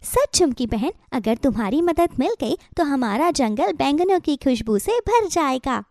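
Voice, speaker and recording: Little female cartoon bird, played by a woman: high, lively pitch, dry sound, eager and determined. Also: a little slow, no echo, full character voice. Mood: eager, happy. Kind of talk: Hindi children's cartoon story acting.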